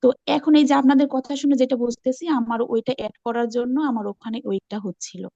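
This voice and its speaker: Woman, high voice, eager and repetitive explainer in an online class discussion, dull dry call audio. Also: fast talker, dark tone, dry recording. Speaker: class participant. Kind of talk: casual group call discussion, explaining from own experience.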